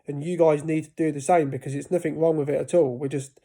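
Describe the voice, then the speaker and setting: A man's voice: fairly high pitch, steady tone, fast, mellow. A man in his thirties talking casually to camera about life with a stutter, often breaking off and restarting words. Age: 30s. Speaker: vlogger.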